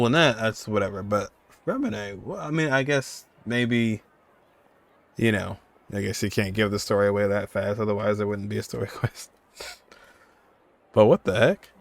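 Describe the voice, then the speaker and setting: A man's voice: mid-range voice, creaky. A man streaming a game playthrough, thinking aloud in an informal, teasingly exasperated way with game music behind him. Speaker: gaming streamer. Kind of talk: casual playthrough commentary.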